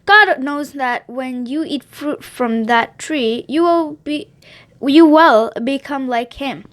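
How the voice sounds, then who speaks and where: A cunning male serpent from a children's storybook, coaxing and smooth, read by a woman. The voice sits high. He sounds like he is gently talking someone into something. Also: slow pace.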